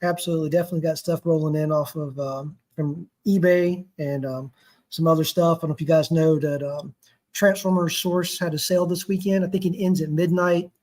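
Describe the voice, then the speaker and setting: A man on a podcast, chatting in an easygoing, relaxed way. His voice sits a bit higher than most men's. He talks in long, loose runs. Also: brisk. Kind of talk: casual podcast chat.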